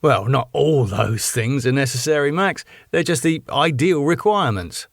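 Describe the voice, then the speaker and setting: A man's voice: medium pitch, animated, moderate pace, creaky, no room sound, clean studio sound, voice pushed up. A man voicing an eager, hard-up young male promoter who talks in a hesitant way. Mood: earnest.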